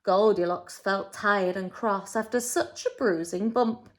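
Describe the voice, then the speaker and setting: A woman teacher reading a storybook expressively to young children, with dramatic emphasis and pauses. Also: medium pitch. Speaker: infant school teacher.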